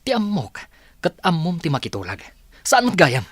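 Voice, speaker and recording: An actor playing a curt, short-tempered company boss and husband in a Filipino radio drama, with a Filipino accent. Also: male voice, a little high, wildly swooping pitch, moderate pace, husky, no echo, heavily acted. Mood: angry.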